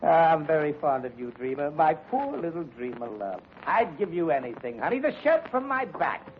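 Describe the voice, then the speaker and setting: An actor playing a menacing, mocking American gangster in a 1940s radio crime drama. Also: male voice, fairly high pitch, very animated, moderate pace. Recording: dull, noisy vintage radio recording.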